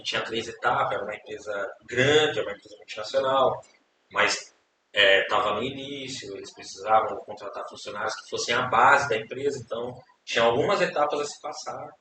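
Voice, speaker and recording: A man's voice: mid-range voice, pushed and bright. A young Brazilian man, a podcast guest, telling his life story in a casual, rambling way, repeating words and tagging questions. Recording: echoey room, distant mic, hiss.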